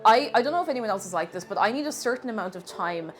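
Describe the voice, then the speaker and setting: Sniffly, lively Irish woman chatting. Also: medium pitch, fast, faint music behind. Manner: chatty and animated, thanks viewers warmly. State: sniffly with a cold. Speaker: live streamer. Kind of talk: casual chat while cooking on stream.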